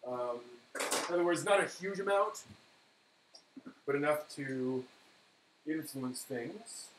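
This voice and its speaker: A man's voice: fairly high pitch, animated, takes it slowly. A man hosting a casual cooking demonstration, rambling and correcting himself as he talks through the steps while he works. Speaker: live stream host. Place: indoor kitchen at a counter. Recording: echoey room, clear background noise.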